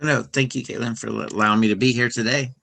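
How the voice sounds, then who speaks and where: A middle-aged man speaking as a podcast guest, talking casually with many fillers, restarts and soft laughs. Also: mid-range voice, steady tone, quick pace, vocal fry, no echo. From United States. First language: English. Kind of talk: casual interview conversation.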